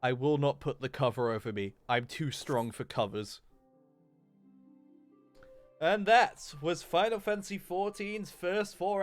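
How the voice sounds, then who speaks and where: Young male streamer, loose rambling chat, fairly high voice. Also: fairly bright tone. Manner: rambling, loose, with many fillers and restarts. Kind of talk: casual livestream chat while gaming. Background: game music.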